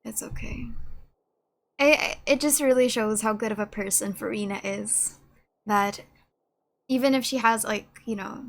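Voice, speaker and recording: A woman's voice: on the high side, a little slow, vocal fry, long pauses. A woman giving casual, emotional, giggly reaction commentary on a video game. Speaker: gameplay video creator. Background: faint game music.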